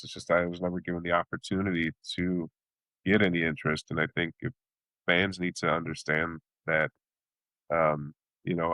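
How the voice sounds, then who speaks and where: A lower-voiced man from Chicago talks shop as a blues singer and bandleader, looping through restarts before landing his point. He acts out reactions with sudden jumps into high exclamations. The sound is dry and close. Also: fairly bright tone, no echo, poor audio. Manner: rambling, with many restarts. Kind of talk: casual interview talk.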